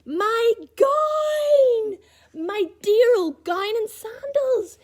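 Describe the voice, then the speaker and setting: A woman's voice: extremely high pitch, lively intonation, drawn-out words, a little mellow, pitched above the performer's own voice, exaggerated voice. A woman voicing a kind, cheerful male giant in a children's storybook, upbeat and reassuring. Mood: delighted.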